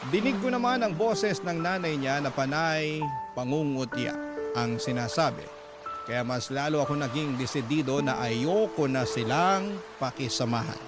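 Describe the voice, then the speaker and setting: Male radio storyteller: fairly high voice, scripted dramatic letter reading over clear music. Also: expressive, moderate pace. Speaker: host and letter reader.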